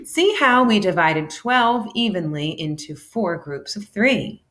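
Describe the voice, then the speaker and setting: A woman, a teacher, reading a children's book aloud in an expressive, animated way that acts out the dialogue. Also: medium pitch, unhurried.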